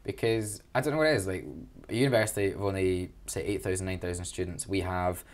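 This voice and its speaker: Young Glaswegian man, low-pitched, enthusiastic and chatty, joking at his own expense. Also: fairly even intonation, quick pace. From Glasgow, Scotland. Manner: enthusiastic, chatty, self-deprecating. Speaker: student union events officer. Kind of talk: casual interview answers.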